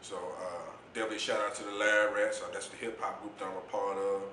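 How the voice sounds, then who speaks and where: Man, deep voice, low-pitched, laid-back interview guest, echoey room. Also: level tone, fast, bright, forceful tone, slight background noise. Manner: laid-back, reflective, says "you know what I'm saying" often. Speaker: interview guest, poet and youth mentor. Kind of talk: casual interview talk.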